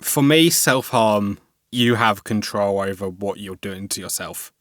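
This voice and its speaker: A man's voice: fairly low pitch, a little slow, fairly bright tone, no echo, clean studio sound. A young man, a podcast guest talking candidly and reflectively, with a few stammered repeats. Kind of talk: candid conversational interview.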